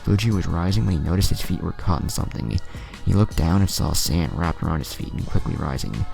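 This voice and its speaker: A man's voice: low voice, lively intonation, brisk, vocal fry, darkish tone, faint music behind. A man narrating anime fan fiction as a storyteller.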